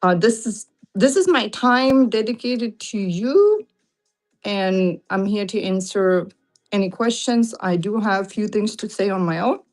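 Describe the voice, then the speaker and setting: A woman's voice: medium pitch, expressive, measured pace, faint music behind. A woman from Lithuania with a Lithuanian accent, hosting a casual late-night livestream from home, greeting viewers and laughing often as she talks. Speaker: livestream seller host. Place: home room, sitting on carpet.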